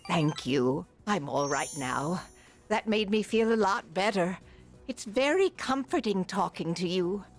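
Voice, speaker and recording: A woman voicing a gentle old wife and mother in a video game. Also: medium pitch, lively intonation, measured pace, soft background music. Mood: soothed, grateful. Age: older.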